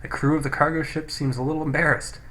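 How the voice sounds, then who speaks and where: A man's voice: fairly high pitch, flat delivery, fast, bright, forceful tone. A man doing casual game commentary, thinking aloud with some hesitation and reading on-screen text out, recorded on a poor, noisy microphone. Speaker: gameplay video host. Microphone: low-quality microphone.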